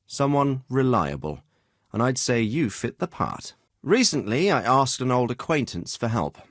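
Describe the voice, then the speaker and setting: An actor playing a dignified, middle-aged medieval lord in a video game, fatherly but shrewd, with a measured, authoritative and well-spoken delivery. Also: medium pitch, very animated, dry recording, studio quality. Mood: businesslike, frank. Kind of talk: scripted game dialogue.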